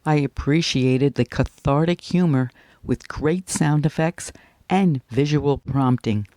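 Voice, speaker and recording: A man's voice: on the high side, takes it slowly, a little mellow, no room sound. A man presenting on the radio, giving a scripted announcement.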